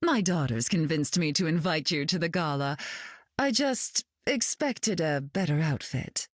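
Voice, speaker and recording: A glamorous mutant queen for a video game. Her voice is low and swoops freely with arch, drawling condescension. She flirts and cuts in the same breath, captured close and dry. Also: animated, measured pace, no room sound. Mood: dry, condescending.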